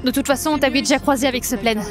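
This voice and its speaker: Woman playing assertive female time traveller, high voice, colloquial, comic audio drama, music underneath. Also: fairly even intonation, very fast, natural voice. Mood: bossy. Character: bossy, quick-thinking female time traveller who improvises excuses.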